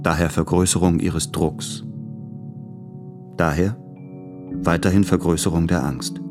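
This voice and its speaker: A needy, anxious man in a literary radio drama. His voice is low, and he confesses his dread in a brooding manner. Music runs clearly underneath. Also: steady tone, unhurried. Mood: fearful, confessional. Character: anxious, fearful, needy man in love, tormented writer.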